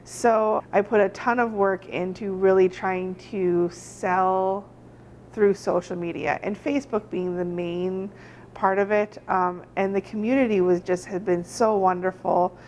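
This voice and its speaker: A woman's voice: medium pitch, steady tone, measured pace, darkish tone. A woman from Germany, a shop owner, answering interview questions in a chatty way with lots of fillers and laughs. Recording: dull audio with faint noise.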